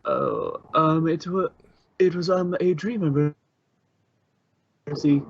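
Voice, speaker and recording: A man reads as a female alicorn princess in a fanfiction story, polite and a little sheepish. The delivery is courteous and hesitant, in a fairly high voice. The recording is slightly dull. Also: takes it slowly, creaky, a little hiss or hum. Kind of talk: character voice in a fanfiction reading.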